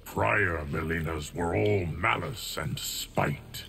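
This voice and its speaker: A man playing a stoic, ancient guardian of time in a video game, speaking formally and gravely with a gravelly voice. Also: over music, exaggerated voice. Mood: solemn, measured. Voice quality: deep, gravelly. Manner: slow, measured, formal. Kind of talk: scripted game dialogue.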